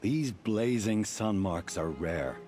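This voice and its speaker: An actor playing a seasoned hunting trial keeper in a video game, instructive and approving, encouraging but exacting. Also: male voice, fairly low pitch, animated, takes it slowly. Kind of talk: video game character dialogue. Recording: music behind the voice. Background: game music.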